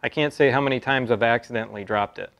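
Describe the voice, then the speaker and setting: An American man in his thirties giving a casual product review to camera in a halting, conversational way. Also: medium pitch, gravelly, a bit bright, lo-fi sound. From Texas, United States. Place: open metal-roofed stable, seated at a pickup tailgate.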